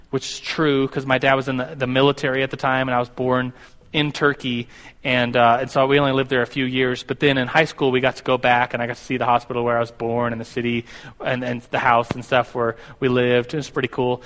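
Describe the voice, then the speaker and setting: A man's voice: mid-range voice, level tone, brisk, fairly bright tone. Rambling man teaching in a hall. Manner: rambling, self-correcting, stumbles over words. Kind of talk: classroom Bible teaching. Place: classroom with a board. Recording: long hall reverb.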